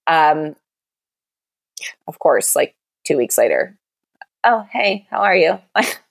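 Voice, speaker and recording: A woman's voice: low-pitched, slow, creaky, no room sound. Young woman, candid chat, exasperated storytelling, dry close sound. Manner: animated, exasperated storytelling with lots of "like".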